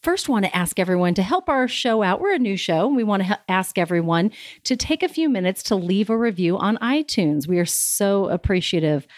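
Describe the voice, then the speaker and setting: A woman's voice: medium pitch, animated, a little fast, no echo, clean studio sound. Upbeat woman podcast host. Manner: upbeat, effusive, grateful. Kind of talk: scripted intro then casual interview. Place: office.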